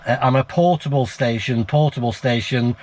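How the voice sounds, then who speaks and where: A man's voice: medium pitch, fairly even intonation, moderate pace, darkish tone. British man chatting and making radio contacts. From United Kingdom. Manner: chatty, spells words out in phonetic alphabet. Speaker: amateur radio operator and vlogger. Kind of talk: casual vlog talk and radio contact exchanges. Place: portable radio outing.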